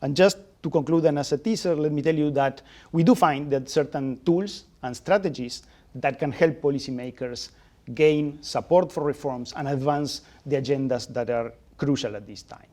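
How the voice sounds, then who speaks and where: A man presenting new economic research at a panel in a measured way, with small restarts. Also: fairly high pitch, a little mellow.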